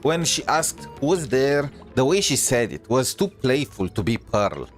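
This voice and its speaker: Lively-pitched man reacting to a game. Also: a little slow, faint music behind. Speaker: streamer. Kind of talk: casual reaction commentary over a game.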